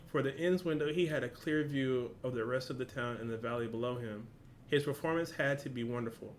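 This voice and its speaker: A man's voice: medium pitch, fairly quick, slight background noise. A young man hosting a reaction video, reading a story aloud, stumbling over words and laughing at himself.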